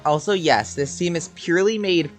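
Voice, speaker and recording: A man's voice: on the high side, normal speed. A man giving casual gameplay commentary in brief, dry reactions as a streamer. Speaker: streamer playing a video game. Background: game music.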